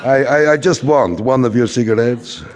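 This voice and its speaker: A man's voice: mid-range voice, normal speed, background noise, close to an everyday voice. An actor playing a wistful, middle-aged immigrant father who philosophizes and repeats himself in an audio drama. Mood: wheedling, musing. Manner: rhetorical, repetitive, philosophizing. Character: wistful, rambling immigrant father, a frustrated commercial traveler. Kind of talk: theatrical audio drama acting. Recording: reverberant hall stage recording.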